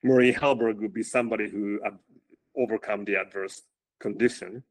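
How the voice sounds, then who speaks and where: A man with a loud voice and a somewhat low pitch talks about coaching in a relaxed interview. He often restarts his sentences, repeating the first words before he gets going. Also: rough recording. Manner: halting, repeats words when starting sentences. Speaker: running coach. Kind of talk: casual interview conversation.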